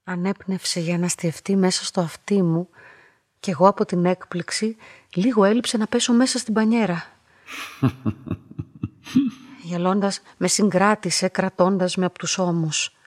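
An actress playing a solitary young woman who narrates her own story in a radio drama, reflective and measured, with a husky voice. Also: lowish voice, steady tone, no echo, studio quality, lightly acted. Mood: intimate. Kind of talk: literary first-person dramatic narration.

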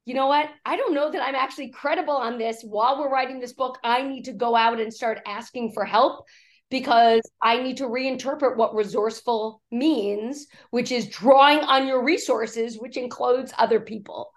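A woman executive coach, hoarse from a cough, talking in a podcast interview and acting out quoted speech as she tells stories. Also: a little high, normal speed. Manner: animated storytelling, acts out quoted speech.

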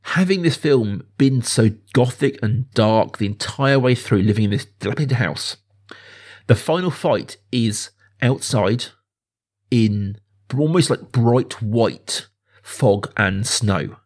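A man in his thirties chats about film as a podcast host. His voice sits at an average pitch with some huskiness. He is enthusiastic and emphatic, often restating a point to build it up. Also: expressive, slow. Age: 30s. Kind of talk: casual film discussion.

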